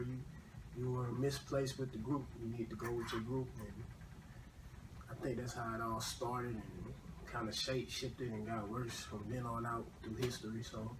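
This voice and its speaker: Flat-voiced young man, far mic. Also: medium pitch, normal speed. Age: young adult. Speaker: student panelist. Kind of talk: casual discussion. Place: small indoor room at a table. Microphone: far camera. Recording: noisy room from far off.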